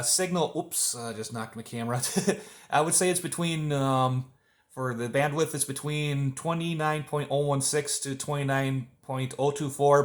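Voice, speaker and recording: A man's voice: medium pitch, echoey room. A man chatting casually and at some length as an amateur radio operator on a contact. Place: indoors at a home radio desk.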